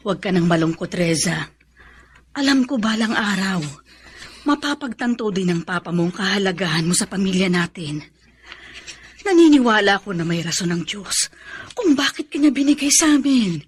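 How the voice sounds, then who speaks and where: A woman's voice: medium pitch, lively intonation, moderate pace, close to an everyday voice. A woman acting in a radio drama as a patient, protective middle-aged mother, tender and pleading. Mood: tender, consoling.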